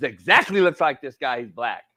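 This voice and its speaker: A man's voice: medium pitch, big pitch swings, a little fast, sharp tone. A man in his forties co-hosting a casual sports talk show, needling his partner and repeating himself for emphasis. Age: 40s. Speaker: co-host. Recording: slightly dull lo-fi sound.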